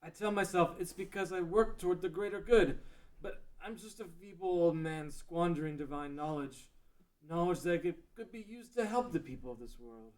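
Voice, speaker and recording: A man playing a feeble old sage full of regret in an amateur fantasy table read, speaking in a halting, grand way. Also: high-pitched, lightly acted. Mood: regretful. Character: feeble old male sage, weary and self-reproaching.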